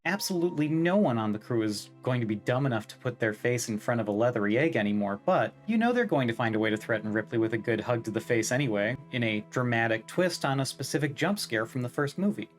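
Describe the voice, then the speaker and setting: A man's voice: medium pitch, animated, fast, hardly any pauses, faint music behind. A man narrating a scripted video essay in a wry, opinionated, emphatic way.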